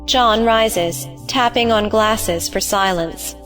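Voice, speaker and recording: A woman reading stage directions as scripted narration, measured and matter-of-fact. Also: medium pitch, fairly bright tone, faint music behind.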